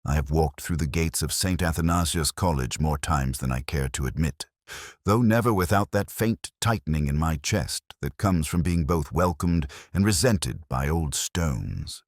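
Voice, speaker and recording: Casting: man, middle-aged, very low voice, dry close sound, measured reflective narrator for literary detective fiction. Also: expressive, no echo. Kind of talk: scripted first-person detective story narration.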